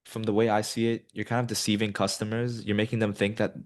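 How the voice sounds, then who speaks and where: Low-voiced young man, laid-back. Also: fast. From Colombia. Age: 20s. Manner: many ums and you-knows, relaxed and rambling. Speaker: guest, business student. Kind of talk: casual interview answers.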